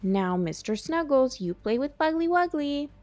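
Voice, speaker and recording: Storybook pet lady acted by a woman: doting, fussy baby talk, high and sing-song. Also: lively intonation, normal speed, a little mellow, dry recording, faint music behind. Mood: cooing, fussy. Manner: cutesy baby talk to pets. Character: doting, cooing female pug owner.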